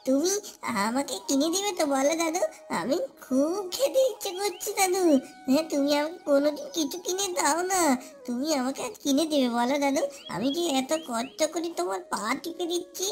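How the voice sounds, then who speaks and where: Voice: sits high, animated, soft background music. A performer voicing a young grandchild in a cartoon, eager, whiny and childishly pleading. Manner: slow, drawn-out childish pleading. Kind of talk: cartoon voice acting.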